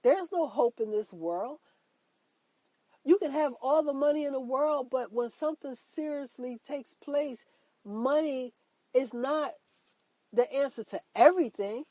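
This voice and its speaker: Woman host, mellow stuffy voice from a cold, earnest repetitive motivational talk, dry close sound. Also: high voice, measured pace, dry recording, low-quality recording. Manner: earnest, repetitive, emphatic preaching; affirming herself with "that's right". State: congested from a cold. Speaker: podcast host.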